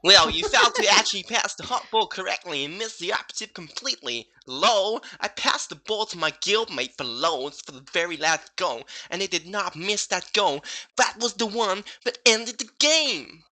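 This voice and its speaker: Man, high voice, very sharp forceful tone, sarcastic, argumentative, comic forum read-aloud. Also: lively intonation, moderate pace. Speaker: co-host.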